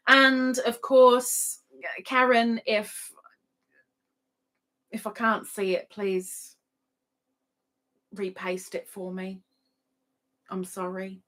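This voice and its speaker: A woman's voice: high-pitched, fairly bright tone. A woman hosting a casual livestream, chatting playfully with sing-song asides and reading out notices to viewers. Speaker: livestream host. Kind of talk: casual live chat, reading out viewers' adverts.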